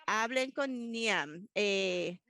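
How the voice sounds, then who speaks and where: A woman working as a simultaneous interpreter for a panel discussion, speaking in a halting way with frequent restarts and self-corrections as she keeps up with the speakers. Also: medium pitch, lively intonation, a bit bright, dry recording.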